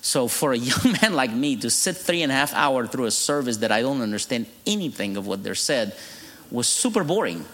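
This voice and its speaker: A man's voice: medium pitch, very animated, a little fast, a bit bright, long reverb tail. A middle-aged man from Egypt with an Egyptian accent, whose first language is Arabic, giving a humorous testimony from the pulpit as a guest preacher. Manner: humorous storytelling, laughing at his own jokes. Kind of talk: sermon testimony. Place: church.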